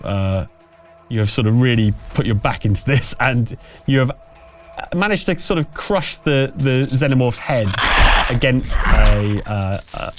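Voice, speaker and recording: A man's voice: mid-range voice, darkish tone. Man game master over background music. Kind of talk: improvised tabletop game narration and casual rules chat.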